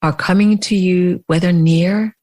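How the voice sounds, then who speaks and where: A woman leading a guided meditation as a teacher, speaking in deliberate phrases with long pauses. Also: fairly low pitch, steady tone, warm tone.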